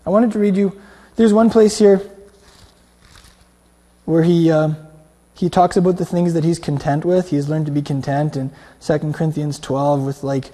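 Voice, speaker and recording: A man's voice: on the high side, steady tone, normal speed, pauses often, dark tone. A man giving an informal, conversational sermon in a colloquial, earnest way, often checking in with listeners. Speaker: preacher. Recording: long hall reverb.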